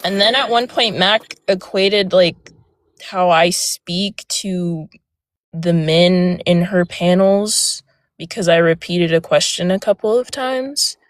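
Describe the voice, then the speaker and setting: Soft-voiced young woman, hesitant. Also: on the low side, takes it slowly, dry recording. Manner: hesitant, many fillers and restarts. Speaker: guest speaker on a live panel. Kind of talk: casual personal account. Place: outdoors near a road.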